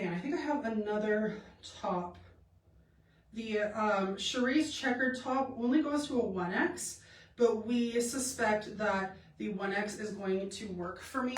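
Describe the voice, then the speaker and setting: Woman host, nasal and congested, rambling casual try-on chat, mic set back in a roomy space. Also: mid-range voice. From Canada. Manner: chatty, rambling with many fillers. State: stuffy-nosed from a cold. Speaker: boutique live-stream host. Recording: echoey room, mic at a distance.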